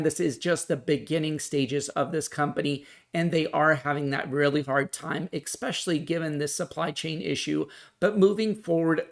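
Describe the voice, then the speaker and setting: Higher-pitched man giving stock commentary. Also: gravelly, a bit bright. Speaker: stock market video host. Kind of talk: explanatory financial commentary to viewers.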